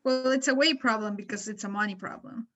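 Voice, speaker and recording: A woman's voice: medium pitch, fast, a bit bright, soft background music. A woman chatting casually in a group, tentative and thinking aloud as she explains something. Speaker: comedy podcast cast member.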